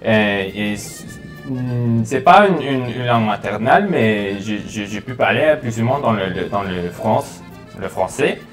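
A young man from the United States with an American accent, a podcast guest talking in a halting way with many repeated words and fillers. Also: lowish voice, animated, soft background music. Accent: American English. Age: 20s. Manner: hesitant, many repeated words and fillers while switching languages. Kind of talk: casual podcast chat. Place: small living room studio.

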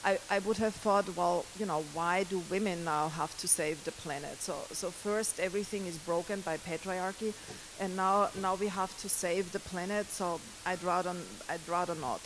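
A middle-aged woman, lecturing at a university into a handheld mic, pausing often with fillers and restarts. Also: fairly low pitch, background noise. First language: German. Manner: hesitant, many fillers and restarts. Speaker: guest lecturer. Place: lecture hall.

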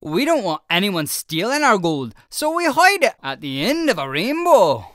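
A woman's voice: mid-range voice, sing-song, normal speed, a bit bright, no room sound, clean studio sound. A woman voicing a friendly male leprechaun shoemaker with a playful brogue-style way of talking, in a children's storybook read-aloud. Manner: welcoming, explaining patiently.

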